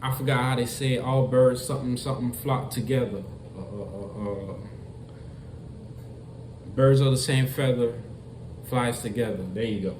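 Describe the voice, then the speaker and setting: A man's voice: mid-range voice, fairly even intonation, moderate pace, darkish tone. A man giving a casual commentary monologue, talking straight to his viewers, repeating himself and stressing his points. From Florida. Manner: repetitive, emphatic, talks straight to viewers. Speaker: commentary vlogger. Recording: echoey room with faint noise.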